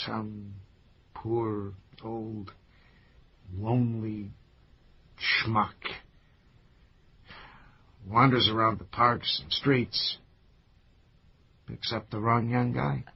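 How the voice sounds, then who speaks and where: A man acting as an anxious older man in a radio play, defensive and sardonic, tripping over his words in agitation. His voice sits at a middling pitch, and the recording is dull and lo-fi. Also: lively intonation, normal speed, slight background noise, natural voice. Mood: subdued, troubled.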